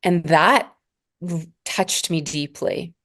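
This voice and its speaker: Guest role: woman in her forties from Northern Alberta, low voice, calm unhurried spiritual talk. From Northern Alberta, Canada. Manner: slow, measured, spiritual phrasing with pauses. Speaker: podcast guest, spiritual mentor. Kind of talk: reflective interview conversation.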